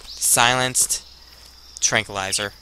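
A young man giving casual, halting gameplay commentary as a let's play host, rambling and muttering to himself into a headset. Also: lowish voice, fairly even intonation, noisy background. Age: young adult.

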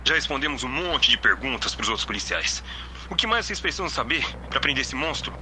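A man's voice: mid-range voice, very animated, fairly quick, bright, forceful tone, a little hiss or hum. A man playing a bitter, grieving middle-aged Brazilian father in an audio drama. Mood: angry, distraught.

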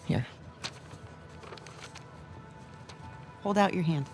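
A woman playing a confident, warm, middle-aged American wife and adventurous journalist in a naturalistic video game cutscene, with a teasing, assured manner. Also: lowish voice, quick pace, lightly acted. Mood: amused, coaxing. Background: music.

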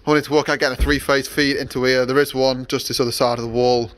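A man's voice: medium pitch, flat delivery, quick pace, a bit bright. A young man vlogging casually from his workshop yard, rambling with many fillers and restarts. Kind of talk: casual vlog narration.

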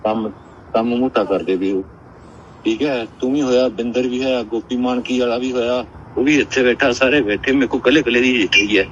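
A man's voice: mid-range voice, steady tone, brisk. A man, talking curtly and insistently in a recorded phone conversation. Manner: curt, insistent. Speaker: police officer. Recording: dull noisy recorded phone call.

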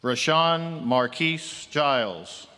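A man reading graduates' names at a commencement in a slow, measured, ceremonial manner at a podium microphone. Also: fairly high pitch, expressive, sharp tone, smooth. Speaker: commencement name reader. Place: large auditorium with long reverb. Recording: long hall reverb.

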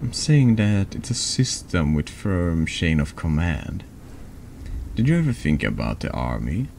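A man with a very deep voice narrates a horror text adventure at an unhurried pace. His delivery is halting, reading out both narration and dialogue in an ASMR-like style, with some noise behind him. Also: big pitch swings, creaky, a little mellow. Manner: halting reading, stumbling over words. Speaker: streamer reading a text adventure game aloud. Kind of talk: slow narrated read-aloud of horror story dialogue, ASMR-like.

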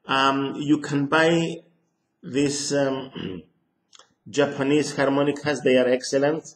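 Older man, Greek accent, slow halting speech, echoey small room, webcam sound. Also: medium pitch, fairly even intonation. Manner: slow, hesitant, many ums and restarts. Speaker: harmonica teacher. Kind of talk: casual lesson to camera. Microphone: webcam at close range.

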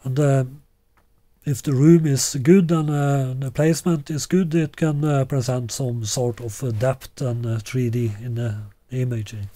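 A man in his sixties presenting a product in an unscripted way, halting and deliberate with long hesitations. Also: medium pitch, steady tone, dark tone, no room sound. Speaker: loudspeaker designer presenting a product. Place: small living room, indoors.

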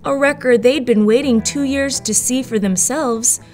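A woman's voice: sits high, moderate pace, darkish tone. Upbeat woman narrating over music. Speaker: show host and narrator.

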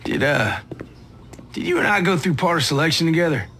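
An actor playing a breezy, cynical special-forces soldier in a video game, with halting, teasing talk. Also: male voice, on the high side, animated, a bit bright, slight background noise. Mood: teasing, friendly. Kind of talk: naturalistic video game acting.

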